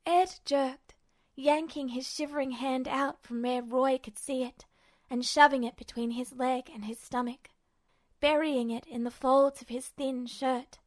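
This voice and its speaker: A woman reading a story aloud as an amateur fiction narrator, in an expressive scripted style. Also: high voice, a little slow, no room sound.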